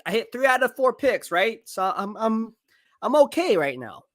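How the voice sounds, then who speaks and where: A man live-streaming football draft commentary, excitable and hyping each pick, his voice going hoarse. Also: sits high, normal speed, no room sound, clean studio sound. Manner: excitable, hypes picks, reads chat aloud. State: losing his voice.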